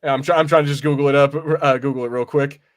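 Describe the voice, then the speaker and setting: A man co-hosting a podcast, chatting casually about pop culture in a rambling, halting way and often repeating phrases. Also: a little high, steady tone. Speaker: podcast co-host. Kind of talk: casual film and comics discussion.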